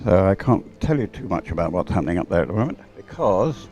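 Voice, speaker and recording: A man's voice: low-pitched, big pitch swings, quick pace, a little mellow. A man giving unscripted live commentary at a sporting event, rambling and hesitant with a dry grumble. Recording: live event feed with background music. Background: music playing over event sound system.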